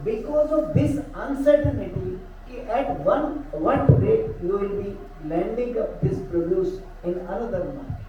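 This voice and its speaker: A man with an Indian English accent speaking as a panelist, pausing often with fillers. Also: sits high, lively intonation, warm tone, slight background noise. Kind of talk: panel discussion. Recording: echoey hall, dull distant mic.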